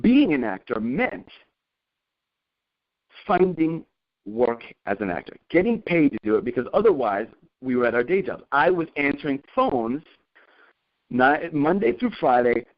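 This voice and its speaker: A man's voice: mid-range voice, big pitch swings, a little slow, long pauses. A man presenting an informal motivational talk as a career coach, telling personal anecdotes, repeating points emphatically and checking in with listeners. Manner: conversational, checks in with listeners with tag questions. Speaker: acting career coach presenting a group call. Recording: dull, lo-fi conference call audio.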